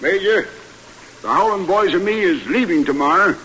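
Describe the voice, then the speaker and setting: A man's voice: sits high, lively intonation, normal speed, bright, forceful tone. An actor playing a defiant, plain-spoken frontier expedition man with a rustic manner in a radio drama. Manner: rustic, stubborn. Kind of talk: old-time radio drama acting. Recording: slightly noisy old radio recording.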